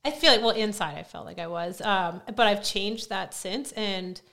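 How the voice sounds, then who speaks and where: A woman guest in a casual podcast interview, chatty and self-deprecating, who says she has a loud voice. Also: medium pitch, normal speed. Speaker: guest, CrossFit coach.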